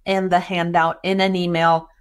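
A woman's voice: fairly low pitch, little pitch movement, moderate pace, clear, resonant tone. A middle-aged woman from Chile with a Chilean Spanish accent touched by Texan, hosting a bookkeeping webinar over a video call in a welcoming way with many fillers. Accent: Chilean Spanish with some Texan. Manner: warm, welcoming, with frequent fillers. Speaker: webinar host and bookkeeping educator. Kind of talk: webinar presentation.

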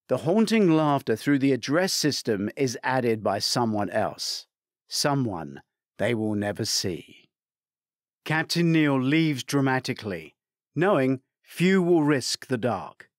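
A man reading a crime thriller aloud as scripted narration, measured and theatrical, lifting his voice for quoted commands. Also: medium pitch, no echo, clean studio sound. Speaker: audiobook narrator.

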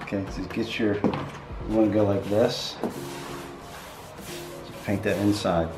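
A man's voice: fairly low pitch, steady tone, unhurried, music in the background. A man giving casual hands-on painting lessons in an empty house, explaining patiently with everyday comparisons. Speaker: painter teaching how to paint doors. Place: empty carpeted room in a house. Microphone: camera a few feet away. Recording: echoey room heard from a distance.

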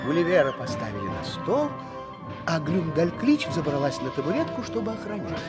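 An actor narrating a radio play as an expressive storybook narrator. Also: male voice, on the high side, moderate pace, loud music behind the voice. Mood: storytelling.

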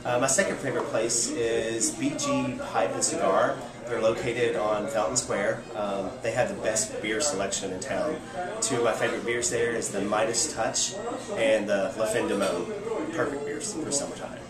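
A man's voice: on the low side, normal speed, over a noisy crowd. A man, talking casually to camera about favorite local places in a friendly, upbeat way with frequent "um" and "uh". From Kentucky. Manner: friendly, upbeat, filler-laden. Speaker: local restaurant worker recommending shops. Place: outdoors by a building, also indoors by a chalkboard. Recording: loud outdoor ambient noise.

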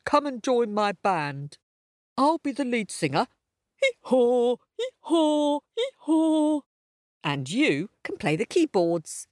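A cheery, self-important male donkey from a storybook, played by a woman. He speaks with big swoops of pitch at an unhurried pace, bossing his friends along with jovial exclamations. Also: very animated, no echo, clean studio sound. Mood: jovial, enthusiastic. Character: vain, cheery male donkey, would-be lead singer. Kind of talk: storybook character voice.

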